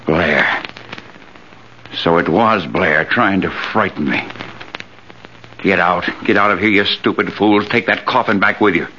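A man's voice: low voice, a little slow, long pauses, sharp tone. An actor playing a panicky, guilty businessman, agitated and blustering in an old radio thriller. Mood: indignant alarm. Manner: agitated, pleading, indignant. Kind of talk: 1940s radio thriller acting. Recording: dull, noisy old radio recording.

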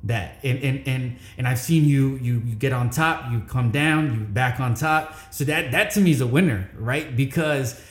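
A man's voice: mid-range voice, normal speed, few pauses. Laid-back man hosting in an echoey room. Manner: laid-back, repeats words, says "all right" and "man" a lot. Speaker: podcast host. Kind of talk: casual interview chat.

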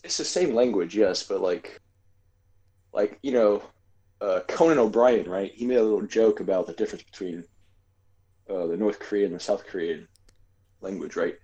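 Mellow low-voiced man in casual chat. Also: normal speed, long pauses, lo-fi sound. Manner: rambling, filler-heavy, hedging. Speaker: podcast co-host.

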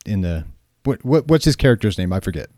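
A man's voice: on the low side, sing-song, brisk, warm tone, no echo, clean studio sound. An American man from Utah co-hosting a podcast in casual, joking conversation. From Utah, United States. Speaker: podcast co-host.

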